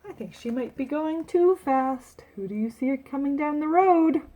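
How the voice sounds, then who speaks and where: A woman reading a picture book aloud to children like a teacher, expressive and emphatic, with asides to her listeners. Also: sits high, moderate pace.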